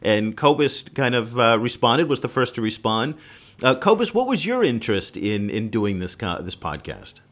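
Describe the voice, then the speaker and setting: A man's voice: medium pitch, very animated, moderate pace, fairly bright tone. A man hosting a podcast, introducing his co-hosts in easy, conversational talk. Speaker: podcast host. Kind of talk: casual podcast chat.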